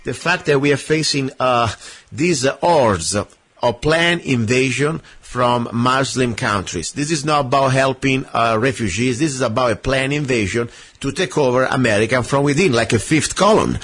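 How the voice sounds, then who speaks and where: A man's voice: medium pitch, moderate pace, a bit bright. A man from Italy with an Italian accent, hosting a political radio talk show and speaking emphatically, with many "uh"s and "you know"s. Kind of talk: opinionated political radio chat.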